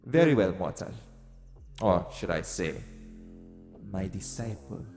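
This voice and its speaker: Cavernous reverb over music, male actor as vengeful deity, lowish voice, menacing, grand, theatrical audio roleplay. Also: very animated, takes it slowly, full character voice. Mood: ominous, commanding. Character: cruel, vengeful male god bargaining with a mortal.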